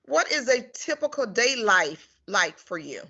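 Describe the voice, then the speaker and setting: A woman's voice: a little high, very animated, unhurried, sharp tone, husky. A woman working as a care ombudsman, asking interview questions in a friendly, casual way. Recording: dull lo-fi recording.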